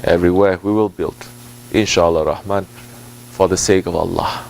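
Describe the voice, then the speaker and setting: Man giving a deliberate, halting spiritual talk. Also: low voice, steady tone, creaky, a little mellow, a little hiss or hum, low-quality recording. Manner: deliberate, halting phrasing with repetition for emphasis; Arabic and Turkish religious phrases mixed into English. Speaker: Sufi religious teacher at a dargah. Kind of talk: informal sermon answering a question.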